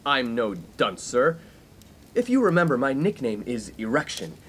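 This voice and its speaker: A man's voice: medium pitch, very animated, unhurried, fairly bright tone, a little hiss or hum. An actor playing a pompous, skirt-chasing young college man in a 1950s comedic radio play, given to grandiose, wordy speeches. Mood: obsequious.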